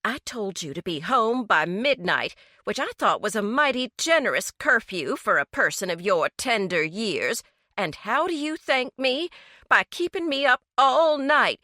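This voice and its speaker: A woman voicing a frantic, scolding middle-aged mother, high and shrill. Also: sing-song, slow pace, pushed and bright, dry recording, clean studio sound, exaggerated voice. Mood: angry.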